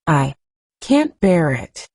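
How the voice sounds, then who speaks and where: A woman reading the lines of a fierce, bad-tempered little girl, near shouting in anger. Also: deep voice, sing-song, drawn-out words, no echo, studio quality, heavily acted. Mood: frightened.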